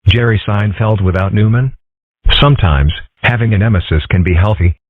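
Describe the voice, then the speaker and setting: A man voicing a calm, philosophical young male fox in a comic dub, husky, measured and deadpan. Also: on the low side, no room sound. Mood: calm, explaining. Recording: muffled narrow-band lo-fi audio.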